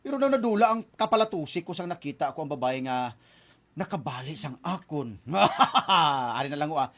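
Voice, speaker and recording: A man's voice: fairly high pitch, expressive, normal speed, fairly bright tone. An actor playing a cheeky young womanizer who narrates his own story in a radio drama. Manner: cheeky, laughing often. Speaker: lead character and narrator.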